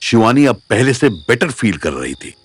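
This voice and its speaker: A man's voice: mid-range voice, very animated, moderate pace, breathy edge, no room sound. A man narrating a scripted audio story as its storyteller. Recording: music bed behind voice.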